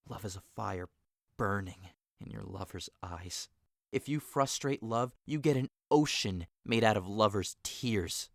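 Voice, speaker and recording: A man's voice: fairly low pitch, fairly bright tone, no echo, studio quality. An actor playing a lovesick young man in an audio drama, sighing and wistful, breaking into passionate outbursts.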